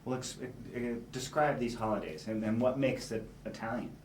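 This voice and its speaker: A man conducting a conversational interview, asking questions in a halting, rephrasing way. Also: medium pitch. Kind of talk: conversational oral-history interviewing. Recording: echoey room, distant mic, background noise.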